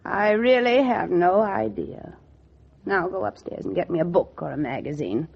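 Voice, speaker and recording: A woman's voice: fairly low pitch, animated, normal speed, vocal fry, slightly rough, exaggerated voice. Imperious older lady, swooping 1940s radio delivery. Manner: imperious, scolding, theatrical. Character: stern, domineering older lady guarding her antiques. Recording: dull vintage 1940s radio recording.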